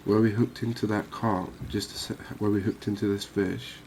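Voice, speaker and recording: A man giving quiet, cozy ASMR commentary on a game, unhurried and thinking aloud. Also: on the low side, little pitch movement, slight background noise. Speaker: gaming video host.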